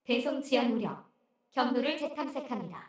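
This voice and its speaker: A woman's voice: high-pitched, moderate pace, natural voice. A woman voicing an impassive navigation machine in a video game, giving clipped, formal alerts. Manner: clipped, impersonal. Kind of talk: formal automated announcement in a game. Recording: very echoey processed sound.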